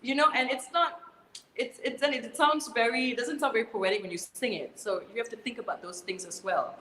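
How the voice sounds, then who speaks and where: A woman's voice: on the high side, fairly quick, slight background noise. Chatty Malaysian woman in an echoey room. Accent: Malaysian English. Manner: animated, laughs often, many "you know" fillers. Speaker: singer guest. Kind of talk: casual interview chat.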